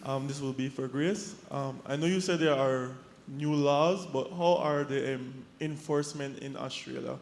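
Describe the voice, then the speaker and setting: A man's voice: mid-range voice, normal speed, hardly any pauses. Man speaking in a reverberant hall. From Belize. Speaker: audience questioner. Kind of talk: spontaneous question to a panel.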